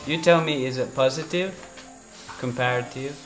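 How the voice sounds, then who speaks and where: A man working as a teacher, talking informally in short, halting phrases. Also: mid-range voice, over music. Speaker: student missionary teacher. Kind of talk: informal talk while showing a classroom.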